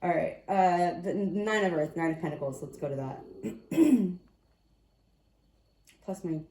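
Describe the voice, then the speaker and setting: A woman with a slightly raspy voice giving a casual tarot card reading, chatty and often thinking aloud as she corrects herself. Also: fairly low pitch, a little slow, mellow, reverberant, slight background noise, low-quality recording.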